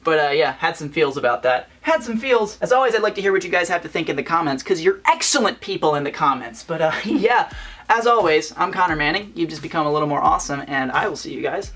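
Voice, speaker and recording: A man's voice: on the high side, expressive, quick pace, fairly bright tone. A young man with a light, feminine-sounding voice, ranting chattily and playfully as a vlogger. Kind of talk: casual opinion vlog.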